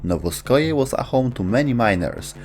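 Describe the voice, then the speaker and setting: A man's voice: medium pitch, expressive, normal speed, darkish tone. A man narrating a scripted video essay about game lore. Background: music.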